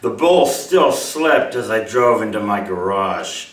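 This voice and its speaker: Male writer, for live literary reading. Unhurried, animated pitch, mid register. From Mobile, Alabama, USA. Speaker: writer reading his own short story. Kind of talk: live story reading to an audience, expressive. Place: indoor room at a live reading event. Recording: roomy, echoey hall, distant microphone.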